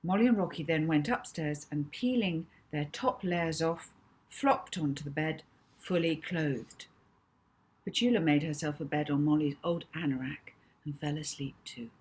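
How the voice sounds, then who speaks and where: A woman's voice: low-pitched, moderate pace, darkish tone, breathy edge. A woman narrating a children's novel as its author, in scripted audiobook style. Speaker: author reading own novel.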